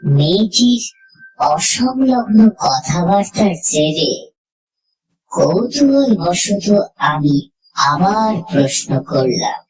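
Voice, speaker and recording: A woman narrating a horror story in the first person, in a dramatic and measured manner. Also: medium pitch, very animated, a little mellow, poor audio.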